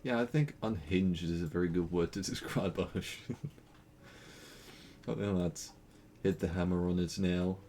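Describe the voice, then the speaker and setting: A man's voice: low voice, a little slow, long pauses, mellow, a little hiss or hum. A young man from the Netherlands with a Dutch accent, chatting playfully and rambling while streaming a game, laughing often. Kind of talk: casual gaming stream chat.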